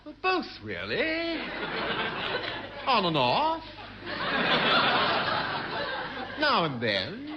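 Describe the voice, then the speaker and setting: Posh english navy officer, dithery and evasive, very up-and-down voice, hissy old radio comedy. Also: male voice, sits high, huge pitch swings, slow pace, over a noisy crowd, heavily acted. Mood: evasive, airy. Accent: upper-class English. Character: vague, evasive upper-class male naval first officer, genially superior. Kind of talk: 1950s-60s British radio sitcom acting.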